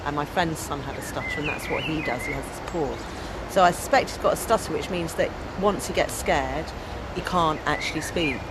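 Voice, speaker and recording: A woman's voice: low voice, fairly quick, very noisy. An English woman with a big, strong voice, telling stories in a casual outdoor interview. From England. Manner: loud, strong voice with lively storytelling. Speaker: interviewee, activist and storyteller.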